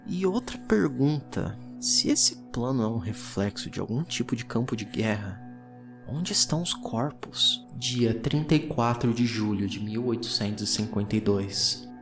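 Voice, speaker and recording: A performer playing a curious, uneasy 19th-century occult explorer who narrates a journal in a reflective, theatrical way, with deliberate pauses, for an audio drama. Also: medium pitch, lively intonation, music in the background. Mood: wondering, uneasy.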